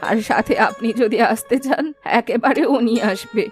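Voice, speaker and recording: Higher-pitched, caring village mother played by a woman. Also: normal speed, lightly acted. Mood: kindly. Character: caring village mother, attentive to her elder. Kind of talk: cartoon dialogue. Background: faint music.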